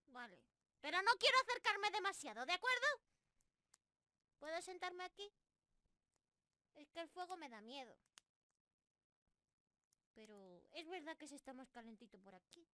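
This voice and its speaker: A woman voicing a young squirrel monster girl in an anime-style ASMR roleplay, excitable, ditzy and stammering. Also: sits high, dry recording, full character voice. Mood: hesitant, wary. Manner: bubbly, excitable, stammering, giggly.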